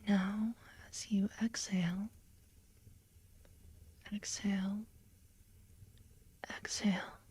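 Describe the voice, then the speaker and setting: Actress for creepy female therapist, ASMR hypnosis roleplay: mellow, breathy whisper, unhurried, calm and ominous control. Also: medium pitch, full character voice. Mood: soothing, guiding. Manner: slow, deliberate, soothing yet ominous.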